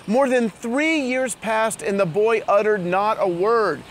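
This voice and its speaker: A man's voice: high-pitched, slow pace, a little hiss or hum. A man hosting, presenting in an earnest, scripted storytelling way outdoors in a town street. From immigrant to Israel. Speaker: host.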